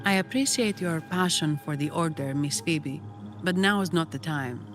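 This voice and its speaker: A woman's voice: deep voice, moderate pace, over music, natural voice. An actress playing a cool, composed and dryly scornful female fighter in a video game. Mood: firm.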